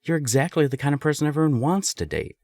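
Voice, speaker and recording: A man's voice: fairly high pitch, big pitch swings, fast talker, darkish tone. A young man acting a candid serial-dater friend who is secretly smitten, conversational and emphatic, in an intimate audio roleplay. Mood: earnest. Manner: conversational, hesitant, emphatic swearing.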